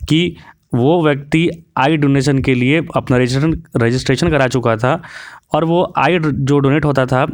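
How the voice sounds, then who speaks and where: A man's voice: medium pitch, dry recording, clean studio sound. A man delivering an opinionated monologue as a video commentator, preachy and mixing in English words.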